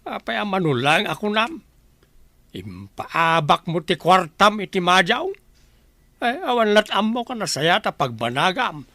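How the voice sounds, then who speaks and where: High, sharp, nagging older spouse. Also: male voice, big pitch swings, unhurried, no echo, full character voice. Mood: scolding. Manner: scolding. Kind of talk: radio drama acting.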